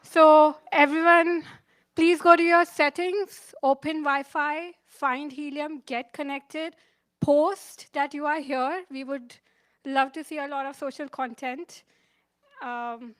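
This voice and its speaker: A woman organizer giving a welcome announcement at an event venue, briskly telling the crowd what to do. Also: sits high, steady tone, no echo.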